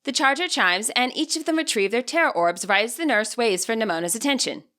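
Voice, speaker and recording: A woman reading scripted present-tense fiction as an audiobook narrator, with an expressive storyteller delivery. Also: fairly high pitch, a little fast.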